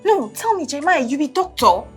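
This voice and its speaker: A woman's voice: high voice, big pitch swings, fairly quick, soft background music. An actress playing a bossy, indignant woman of the house with a Nigerian accent, scolding and confrontational, in a film drama. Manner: confrontational, sharp questioning.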